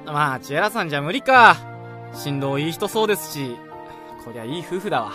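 An actor in a Japanese radio drama playing a cheeky, chatty young junior colleague in a breezy, teasing way. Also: male voice, a little high, lively intonation, fairly quick, bright, forceful tone, soft background music. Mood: curious, teasing. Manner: breezy, teasing, polite-casual. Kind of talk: casual radio drama dialogue.

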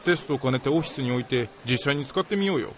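A man's voice: medium pitch, lively intonation, fast, natural voice. A man playing a skeptical, bossy lab chief with a casual, bemused manner in a comedic radio drama. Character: gruff, skeptical male lab chief, easily impressed.

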